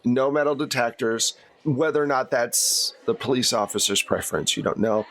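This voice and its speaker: A man's voice: mid-range voice, moderate pace, a bit bright. A man narrating an improvised tabletop game as game master in a conversational, explanatory way.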